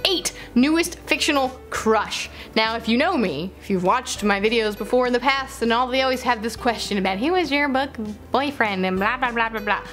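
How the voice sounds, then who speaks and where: A woman's voice: fairly high pitch, normal speed, a bit bright, soft background music. A woman chatting casually as a book vlogger, playful and self-interrupting, gushing and repeating words. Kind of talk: casual chatty vlog to camera.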